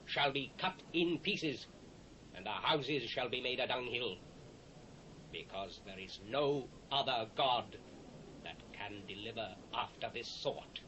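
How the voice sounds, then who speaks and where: A man's voice: mid-range voice, unhurried, crowd noise, lightly acted, low-quality recording. A British actor playing a proud, commanding king of the ancient world in a dramatised scripture reading, declamatory and imperious. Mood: solemn, decreeing. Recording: faint hiss, old cassette transfer.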